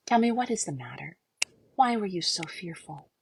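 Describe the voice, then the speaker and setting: A woman's voice: on the low side, sing-song, moderate pace, gravelly, a little hiss or hum. A woman voicing a cheerful, reassuring male shepherd in a storybook reading. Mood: gentle. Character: gentle cheerful male shepherd, strong and kind.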